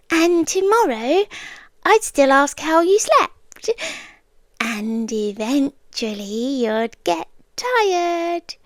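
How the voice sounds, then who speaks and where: A British woman acting in an ASMR roleplay as an obsessive, calmly possessive girlfriend, speaking intimately and often slipping into whispers. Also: high voice, no room sound, heavily acted. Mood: quietly menacing. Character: obsessive, soft-spoken yandere girlfriend, calmly possessive young woman captor.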